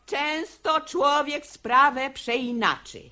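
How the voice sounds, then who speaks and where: A woman's voice: high voice, slow, bright, forceful tone, heavily acted. An actress playing a stubborn, sanctimonious elderly mother in a radio play, preaching in theatrical verse. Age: older. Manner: sententious, theatrical.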